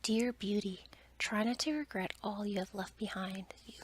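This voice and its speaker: A woman voicing a stately, kindly dream lady in a soft, breathy ASMR storybook whisper. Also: mid-range voice, steady tone, moderate pace, lightly acted. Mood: kindly, advising.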